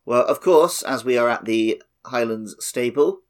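A man with a medium-pitched voice chats as co-host of a gaming podcast. His delivery is casual and conversational, with a wry, joking streak. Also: measured pace.

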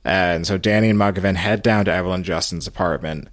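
A man's voice: low-pitched, steady tone, fast talker, no echo, studio quality. A man hosting a podcast, recapping a story in a conversational way. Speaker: podcast host. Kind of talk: conversational recap of a radio show.

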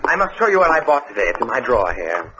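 A man's voice: mid-range voice, sing-song, fast talker, background noise, lightly acted. An actor playing a suave, ruthless crime boss with refined tastes in a 1940s radio drama, theatrical and affected in his manner. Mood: urbane, pleased. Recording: dull old radio recording.

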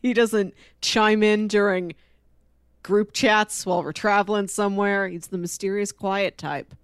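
A woman's voice: mid-range voice, fairly even intonation, measured pace, clear, resonant tone, no room sound, clean studio sound. A woman co-hosting a podcast, casually discussing comics in a playful way, often acting out paraphrased dialogue. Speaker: podcast co-host.

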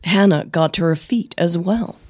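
A woman's voice: on the low side, moderate pace, a little mellow, no echo, studio quality, soft background music. A woman with a flu-affected voice reading dramatic fiction as a podcast narrator and host.

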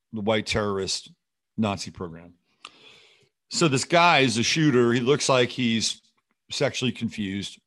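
A man's voice: lowish voice, a bit bright. A man hosting a show with rambling, skeptical, sardonic and often profane unscripted political commentary.

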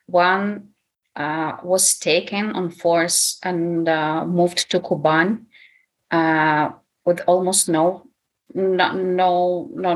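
A woman's voice: lowish voice, rough recording. A woman telling her family story off the cuff, hesitant and searching for words in English. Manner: hesitant, many fillers and restarts. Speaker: speaker sharing a personal story. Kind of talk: unscripted personal story.

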